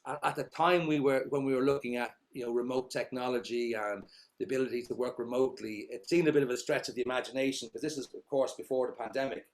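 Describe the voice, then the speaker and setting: A middle-aged Irish man, a company founder, giving long, rambling answers in a casual interview, full of fillers and restarts. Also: medium pitch. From Ireland.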